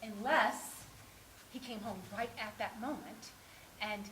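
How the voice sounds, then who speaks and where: An actress playing an anxious, clumsy, lovelorn woman in a theatrical monologue, halting and scolding herself with dramatic swings. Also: fairly high pitch, fairly even intonation. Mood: nervous, flustered. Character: anxious, clumsy, lovelorn mistress rehearsing a dreaded phone call. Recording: echoey room with faint noise.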